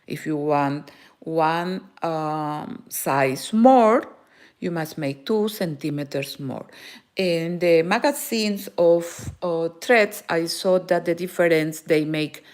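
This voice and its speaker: Woman, Peruvian Spanish accent, native Spanish speaker, crochet teacher, live tutorial, slow unhurried pace, halting speech with word-searching pauses. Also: fairly low pitch, darkish tone. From Peru. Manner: halting, searching for words, frequent "eh" fillers.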